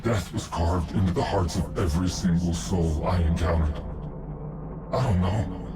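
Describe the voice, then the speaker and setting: An actor playing a sorrowful, tormented artificial intelligence in a video game, narrating its past in a brooding, solemn manner. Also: male voice, low-pitched, normal speed, lightly acted. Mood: brooding, haunted. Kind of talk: dramatic scripted game narration. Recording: loud music behind the voice.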